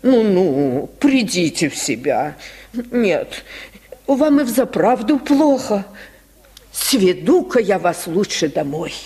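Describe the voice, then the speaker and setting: A man voicing a kindly, practical neighbour woman in a radio storybook reading, solicitous and coaxing, with a husky voice. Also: high-pitched, very animated, takes it slowly, mellow, voice pushed up, full character voice. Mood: fussing, reassuring. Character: kindly, fussing, practical lame woman, washerwoman's friend. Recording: old radio recording with hall reverb.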